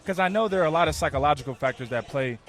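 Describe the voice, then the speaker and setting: A man's voice: a little high, lively intonation, rapid-fire, fairly bright tone. A male podcast host interviewing a guest outdoors, laid-back and full of affirmations and slang. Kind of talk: casual interview. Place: outdoors at a busy event. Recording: music behind, outdoors.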